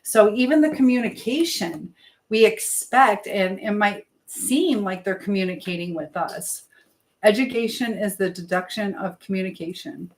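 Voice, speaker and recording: A woman's voice: medium pitch, normal speed, poor audio. A middle-aged American woman hosting a casual live stream, chatting in a rambling way as she answers viewers and drifts between asides.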